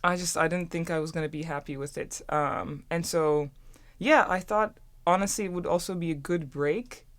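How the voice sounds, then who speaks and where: A woman's voice: fairly low pitch, moderate pace. A student woman in her twenties from Turkey, a native German speaker, telling her story in a chatty way with lots of restarts. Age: 20s. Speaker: student co-host. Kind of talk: casual conversational storytelling.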